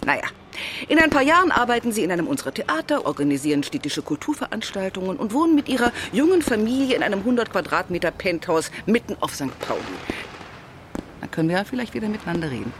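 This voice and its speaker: An actress playing a middle-aged senator in a radio drama, brisk, commanding and condescending. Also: female voice, medium pitch, sing-song, a little hiss or hum, close to an everyday voice. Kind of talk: German radio drama dialogue.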